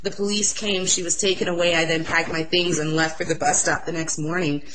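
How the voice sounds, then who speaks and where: A young woman with a loud voice, sharing an earnest, excitable personal religious testimony in an interview. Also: sits low, fairly quick, slight background noise, rough recording.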